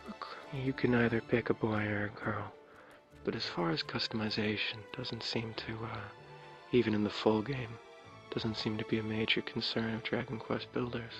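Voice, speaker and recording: A man with a low, crackly, raspy voice records ASMR game commentary. He is soft-spoken and meanders hesitantly as he shows things off. The sound is muffled and lo-fi, with game music clearly playing behind him. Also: steady tone, normal speed. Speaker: video creator showing his game builds. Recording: dull lo-fi mic, clear background music.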